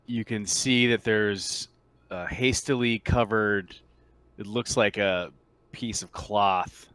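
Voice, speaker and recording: A man with a fairly low voice, recorded close and dry. He acts as game master, narrating the scene in a casual, descriptive way with halting phrasing. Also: a bit bright, clear, resonant tone, no room sound. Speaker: game master of a tabletop RPG.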